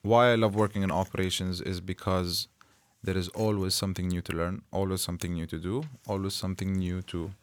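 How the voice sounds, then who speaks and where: A man's voice: sits low, flat delivery, fairly quick, hardly any pauses. A young man from Jordan, an Arabic speaker, answering interview questions casually and explaining things patiently with plain examples. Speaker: interview guest, school operations officer. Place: school radio room.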